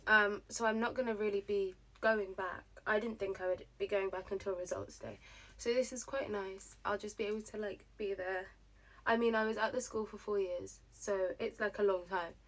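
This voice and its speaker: A young woman chatting casually through her get-ready-with-me routine, rambling with lots of fillers, her voice slightly hoarse. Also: mid-range voice, fairly even intonation, normal speed, a bit bright. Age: young adult. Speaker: vlogger.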